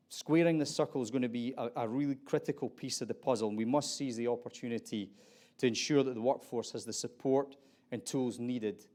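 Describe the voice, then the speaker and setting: A middle-aged man with an Aberdeen Scottish accent opens a conference panel as its moderator. He talks in a loose, conversational way and often restarts his phrases. His pitch sits in an ordinary middle range for a man. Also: darkish tone. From Scotland.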